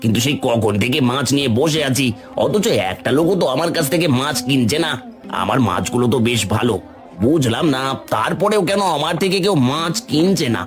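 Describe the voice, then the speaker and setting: A man's voice: medium pitch, expressive, brisk, lightly acted. A man playing a jealous, scheming village fish seller in a cartoon folktale, grumbling and exclaiming. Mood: grumbling, envious. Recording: music behind the voice.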